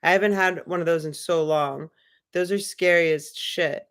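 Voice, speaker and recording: A woman's voice: lowish voice, fairly even intonation, normal speed, fairly bright tone. A young woman hosting a casual livestream, rambling and musing in a loose, spiritual way with many filler words. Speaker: livestream host. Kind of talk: casual livestream chat, reading viewer comments.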